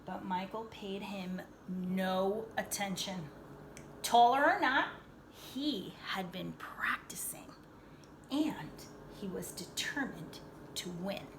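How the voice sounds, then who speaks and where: A woman reading a children's picture book aloud in an animated, dramatic way, pausing to explain things to young listeners like a teacher. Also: medium pitch, background noise. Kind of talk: expressive read-aloud storytelling with asides.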